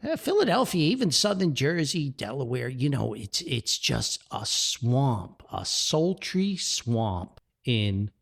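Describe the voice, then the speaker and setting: A man's voice: mid-range voice, sing-song, takes it slowly, gravelly, hardly any pauses, breathy edge. A man hosting a solo podcast in a folksy, rambling, jovial way, full of exclamations. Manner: jovial, chuckles often. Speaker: podcast host. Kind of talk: chatty solo podcast with sponsor reads.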